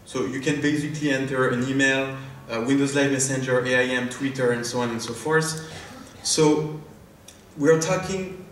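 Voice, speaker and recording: A man's voice: mid-range voice, moderate pace, a bit bright. A man with a French accent, a startup founder giving a live product demo on stage, hesitant, with many fillers and restarts. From France. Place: large conference hall. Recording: echoey hall from far off, faint noise.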